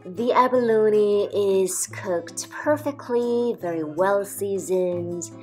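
A woman calmly narrating a restaurant food review as a voice-over, describing each dish in a measured way. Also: medium pitch, faint music behind. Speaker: food vlogger.

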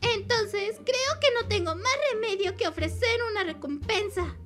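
A woman's voice: very high-pitched, expressive, moderate pace. A woman voicing a bossy little cartoon girl in a fandub, with a Latin American accent. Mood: stern. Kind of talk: Spanish-language cartoon comic fandub. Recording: music behind the voice.

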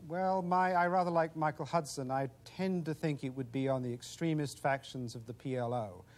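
A man's voice: a little high, normal speed, a little mellow. Confident middle-aged male policy expert. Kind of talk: studio interview answer. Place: television studio.

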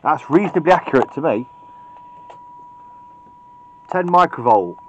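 A man's voice: on the high side, animated, measured pace, a bit bright, muffled recording, slight background noise. A British man giving an informal, opinionated hands-on tutorial in a blunt way, recorded on a camera's built-in microphone. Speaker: radio repair hobbyist presenting a tutorial. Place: indoors at a cluttered electronics workbench.

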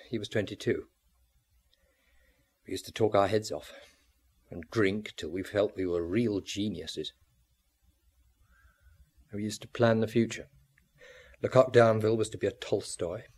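A man's voice: on the low side, moderate pace, long pauses, a little mellow, close to an everyday voice. An actor playing a former art student wearily recalling his youth in a radio drama. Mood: wistful. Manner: reminiscing, narrative. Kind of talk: old radio drama acting.

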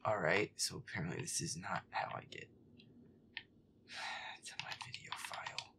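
A man giving irritable, foul-mouthed gameplay commentary in a breathy, often whispered mutter. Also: low voice, measured pace, bright, forceful tone. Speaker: gamer playing a horror game in character.

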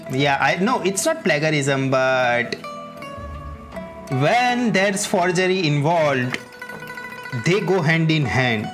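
A game streamer giving casual, wry playthrough commentary in halting, muttered asides. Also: medium pitch, lively intonation. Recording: game music behind the voice. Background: game music.

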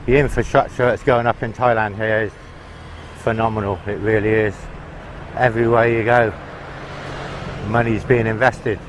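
A man's voice: fairly low pitch, flat delivery, moderate pace, long pauses, rough recording. A man vlogging as he walks along a city street, chatting to viewers in a casual, opinionated way, recorded on a handheld camera. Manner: chatty, opinionated, talks to viewers while walking. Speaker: travel vlogger. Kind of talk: casual walk-and-talk narration. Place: outdoors on a busy city roadside. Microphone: handheld camera mic. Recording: street traffic noise behind.

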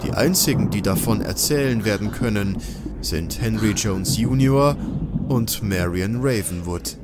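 A man's voice: lowish voice, a little slow, very noisy. A man delivering dramatic scripted narration for an adventure audio drama.

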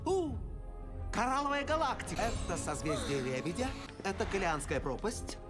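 A pompous, animated cartoon scholar. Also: male voice, high-pitched, moderate pace. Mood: awed, learned. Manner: pompous, fussy, theatrical. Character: pompous, excitable learned alien doctor, male. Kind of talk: animated film dubbing. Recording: film soundtrack with loud music behind.

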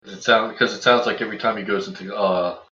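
A man's voice: lowish voice, steady tone, quick pace, bright, forceful tone. A man playing in a tabletop game, teasing the others with jokes. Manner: teasing, joking. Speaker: player. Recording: muffled lo-fi audio.

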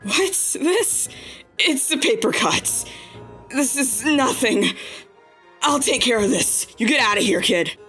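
A woman's voice: a little high, lively intonation, takes it slowly, sharp tone, faint music behind, full character voice. A woman playing a fierce monster warrior in a dramatic comic dub, intense and heroic. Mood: gruff, protective. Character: fierce, gruff female monster warrior, wounded but defiant.

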